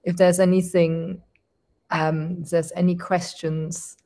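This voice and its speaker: A woman's voice: on the low side, level tone, takes it slowly, dark tone. A woman with a German accent, hosting a livestreamed discussion in a thoughtful, appreciative manner with frequent restarts.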